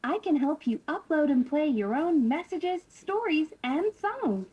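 A very high-pitched, cheerful little toy character with lively, swooping intonation. It speaks in simple, eager phrases for children, muffled as if from a small toy speaker. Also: female voice. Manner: cheerful, slow, simple greeting. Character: friendly eager little talking toy figure. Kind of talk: scripted children's toy prompt.

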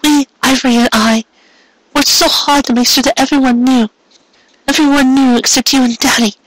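A storyteller voicing a distressed, devoted young female pony who pleads in halting, stammering phrases. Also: sits high, fairly even intonation, fairly bright tone, dry recording, pitched above the performer's own voice, poor audio. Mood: pleading.

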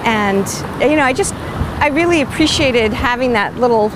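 An older woman hosting a vlog, talking in a reflective, chatty way with pauses and fillers as she walks on a beach. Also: on the high side, animated, noisy background. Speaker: vlog host. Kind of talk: casual walking monologue. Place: outdoors on a sandy beach.

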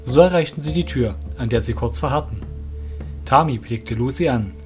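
A man's voice: mid-range voice, moderate pace, a little mellow. Male storyteller over faint music. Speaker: narrator. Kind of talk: scripted audio drama narration.

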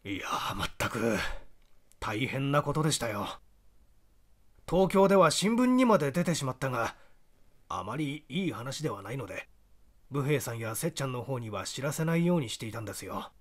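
A man's voice: mid-range voice, very animated, moderate pace. A man voicing a troubled, earnest young diner owner in audiobook dialogue, explaining himself in a conversational way. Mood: earnest, confiding.

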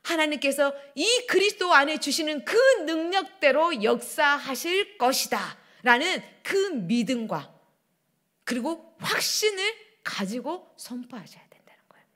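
A middle-aged woman pastor preaching a sermon at a church pulpit, swinging between loud declarations and hushed asides. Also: high-pitched, lively intonation, unhurried. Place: church sanctuary, standing at pulpit. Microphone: pulpit microphones.

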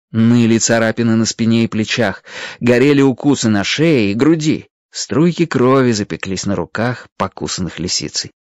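A man's voice: medium pitch, a little mellow, no echo. A man narrating a children's adventure story expressively from a script, as a storyteller.

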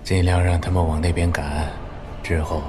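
An actor playing a calm, calculating old scholar and imperial tutor in an audio drama, quiet and restrained. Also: male voice, low voice, normal speed, faint music behind, natural voice. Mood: calm, scheming. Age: older. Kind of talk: Chinese audio drama acting.

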